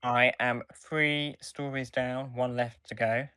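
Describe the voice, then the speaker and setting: A man's voice: medium pitch, steady tone, slow pace, pushed and bright, clear, resonant tone, dry recording, studio quality. A man co-hosting a podcast, talking casually as he reviews and gives his opinions. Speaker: podcast co-host.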